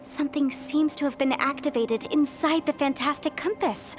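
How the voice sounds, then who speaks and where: A woman's voice: high-pitched, normal speed, faint music behind, close to an everyday voice. A woman playing an earnest, determined young half-immortal heroine in a video game, explaining and encouraging. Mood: excited. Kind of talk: video game story acting.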